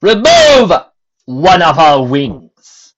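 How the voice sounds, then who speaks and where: A man doing a booming cruel pony captain. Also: sing-song, full character voice. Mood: commanding. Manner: booming, commanding, slow and theatrical. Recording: dull lo-fi home recording.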